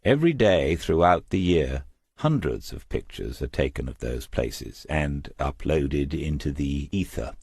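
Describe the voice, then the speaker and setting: A man narrating a crime novel as a scripted audiobook, in a measured, reflective storytelling manner. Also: rumbling low, expressive, gravelly, darkish tone, husky, no room sound, studio quality.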